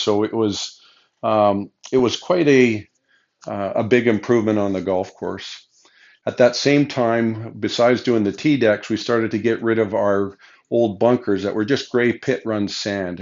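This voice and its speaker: Hoarse older man with a cold. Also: fairly low pitch. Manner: relaxed, unhurried, with frequent fillers and restarts. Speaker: golf course superintendent. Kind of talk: casual spoken recollection to camera. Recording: dull lo-fi sound.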